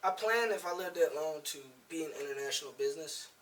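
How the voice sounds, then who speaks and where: A man with a fairly high, forceful, bright voice talks in loose, slangy casual chat. His first language is German, and he sounds like a podcast guest sitting a little way from the mic. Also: fast talker, recorded from a distance, slight background noise, poor audio.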